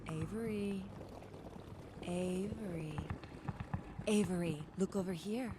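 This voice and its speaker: Low, coaxing sea siren played by a woman, noisy. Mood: coaxing, sinister. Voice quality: soothing, coaxing voice. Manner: slow, coaxing, calling softly. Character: luring sea monster woman, seductive and predatory. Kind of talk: audio drama acting.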